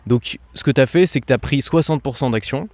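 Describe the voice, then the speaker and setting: A man hosting a casual conversational interview, explaining investing ideas quickly with frequent fillers and restarts. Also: medium pitch, a little mellow. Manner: fast, explanatory, peppered with fillers. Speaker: podcast host.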